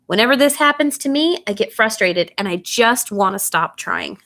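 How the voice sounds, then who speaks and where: A woman reading a children's bedtime story aloud in an expressive, animated way, putting questions to young listeners. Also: mid-range voice, moderate pace.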